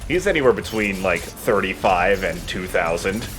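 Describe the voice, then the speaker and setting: Low-pitched man with lively, swinging delivery. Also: normal speed, music in the background. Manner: joking, profane. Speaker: let's play host and player. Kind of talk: casual game commentary.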